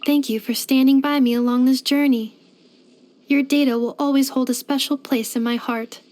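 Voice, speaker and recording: A woman's voice: high voice, steady tone, moderate pace, a little mellow, lightly acted. A woman voicing a polite, devoted household robot companion in a video game, speaking in formal, precise, computer-like phrasing. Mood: tender, devoted. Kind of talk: scripted video game character voice lines.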